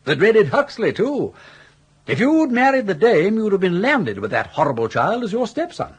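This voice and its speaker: A breezy, elderly upper-class English gentleman in a radio comedy. His voice is slightly husky and swoops freely in pitch. He talks with jaunty, teasing wit, as if forever amused by his own advice. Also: very animated, moderate pace, heavily acted. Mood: jubilant, encouraging. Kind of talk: BBC radio comedy drama acting.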